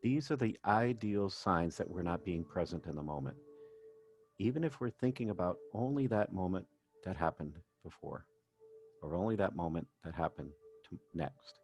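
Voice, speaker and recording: A man working as a meditation coach, narrating a guided session in gentle, measured phrases with pauses. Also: low-pitched. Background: background music.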